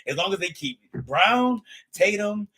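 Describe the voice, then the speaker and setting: A man's voice: high-pitched, lively intonation, normal speed, a loud crowd. A man co-hosting a casual sports talk show, laid-back and often checking in with "you know what I'm saying.". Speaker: co-host.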